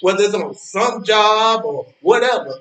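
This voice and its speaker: High-voiced, preachy old man played by an actor. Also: expressive, fairly bright tone. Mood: defensive. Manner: moralizing, halting, repeating words for emphasis. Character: preachy, indignant old man, lonely park-bench regular. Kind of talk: stage play dialogue. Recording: echoey, distant-sounding, noisy ambience with birdsong.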